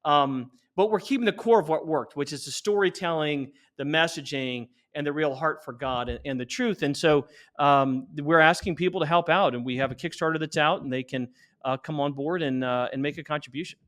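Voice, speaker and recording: Higher-pitched man in his forties explaining. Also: fairly quick, fairly bright tone. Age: 40s. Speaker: interview guest, company founder. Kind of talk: conversational interview pitch.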